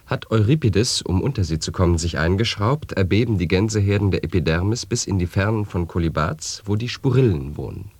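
An actor in a radio play reciting chained nonsense statements in a measured, lecturing way. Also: male voice, deep voice, animated, a little mellow, breathy edge, no echo, heavily acted. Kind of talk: reciting sound poetry.

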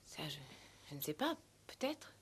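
An actress playing a proud, guarded young woman in a 1960s French radio play, breathy, hesitant and defensive. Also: low voice, big pitch swings, moderate pace, heavily acted. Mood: evasive, proud. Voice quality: breathy, often near whisper. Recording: old 1960s radio recording.

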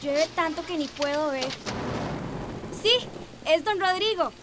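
An actress with a Central American accent playing a bossy but caring teenage older sister in a radio soap opera. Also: female voice, high-pitched, takes it slowly. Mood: defensive. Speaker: actor in a radio drama. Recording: clearly noisy outdoor sound effects behind.

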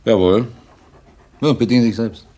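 A man acting a taciturn gamekeeper and old friend in a radio drama, talking in short, halting, guarded phrases. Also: mid-range voice, mellow, lightly acted. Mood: easygoing, brief.

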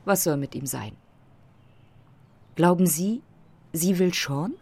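A woman playing a self-assured, provocative photographer in a German radio drama, with a husky voice and pointed, theatrical phrasing. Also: fairly low pitch, takes it slowly, darkish tone, exaggerated voice. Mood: knowing, provocative.

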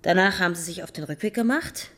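An actress playing a brisk, sceptical police detective in a radio drama, dry and matter-of-fact. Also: female voice, fairly low pitch, fairly bright tone. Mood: firm, probing. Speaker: police investigator.

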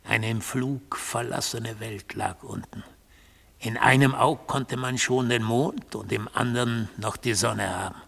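Gravelly, enigmatic accused man. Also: mid-range voice, fairly even intonation, a little slow, fairly bright tone, exaggerated voice. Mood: measured, evocative. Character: enigmatic accused man, coolly justifying his deeds. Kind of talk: literary radio play acting.

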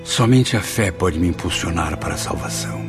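A deep, gravelly Brazilian male voice of a repentant, sorrowful spirit. He prays gravely and earnestly in radio drama style over a prominent music bed. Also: expressive, fairly quick. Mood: anguished hope.

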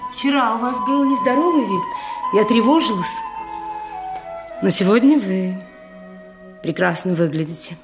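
An actress playing a stately, watchful queen mother, formal and deliberate, in a Russian radio drama. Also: medium pitch, lightly acted. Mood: watchful concern. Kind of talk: Russian radio play acting. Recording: loud music behind.

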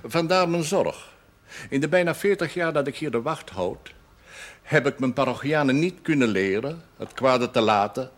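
Old village pastor, stern, erudite, pompous, sardonic, very slow delivery, animated pitch, period television drama. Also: male voice. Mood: stern, dry. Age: 60s. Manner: pompous, measured, sententious, slipping into French and Latin.

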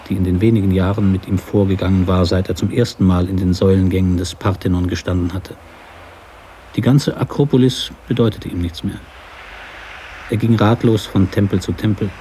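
A man narrating literary prose for a radio drama in a measured storytelling manner. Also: deep voice, steady tone, dark tone, slight background noise.

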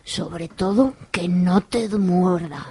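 A woman's voice: on the low side, slow pace, warm tone, husky, no room sound, full character voice. A woman playing a flippant, scheming fortune-telling witch in an amateur comic radio soap, casual about gruesome things. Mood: flippant, soothing.

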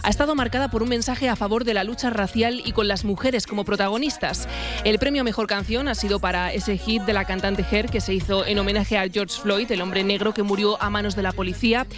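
A woman's voice: medium pitch, fairly even intonation, brisk. A woman from reading a scripted radio news report on music.

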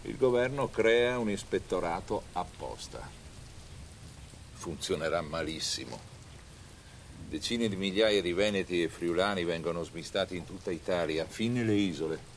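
A man reading scripted historical narration for a documentary film in a measured storytelling manner. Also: low voice, a little hiss or hum.

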